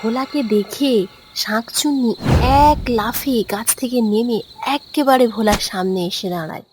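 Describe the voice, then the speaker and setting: A woman's voice: on the high side, a little slow, heavy background noise. A woman narrating a scripted fairy tale for a cartoon as its storyteller.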